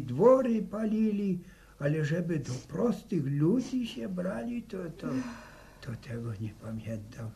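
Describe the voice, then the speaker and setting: An actor playing a gentle, rambling old village father-in-law in a 1960s Polish radio drama, muttering and repeating his words hesitantly. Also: a little high, vocal fry, heavily acted. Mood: wistful, soothing. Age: older. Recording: noisy vintage radio recording.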